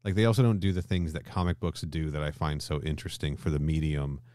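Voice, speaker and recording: Male podcast host, very deep bass voice, casual discussion, hedging and rephrasing, dry studio-like sound. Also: fairly even intonation, fast talker, a little mellow, no room sound. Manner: hedging, thinking aloud, circles back to rephrase. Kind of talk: casual discussion between co-hosts.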